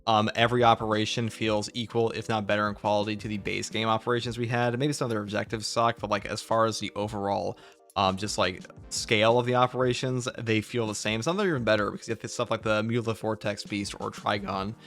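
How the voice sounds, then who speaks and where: A man who makes gaming videos, casually discussing a video game and explaining his opinions in a measured way. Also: lowish voice, fairly even intonation, a bit bright. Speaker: gaming YouTuber.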